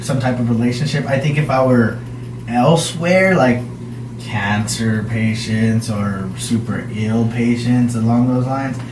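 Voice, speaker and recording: A man's voice: medium pitch, unhurried. A man in his twenties hosting a casual podcast chat, often restarting and trailing off mid-sentence. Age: 20s. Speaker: podcast host. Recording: roomy, distant mic, noisy.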